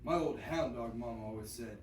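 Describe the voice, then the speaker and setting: A man's voice: mid-range voice, moderate pace, natural voice. A teenage boy playing a folksy, needling hound dog in a classroom reader's theater. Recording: echoey, noisy classroom, distant mic.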